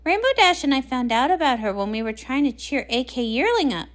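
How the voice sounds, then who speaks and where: Woman acting out a cartoon party pony, very high voice, swooping intonation, playful, chatty, scatterbrained. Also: sing-song, fairly quick. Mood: eager. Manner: bouncy, chatty. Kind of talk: acted comic read-along.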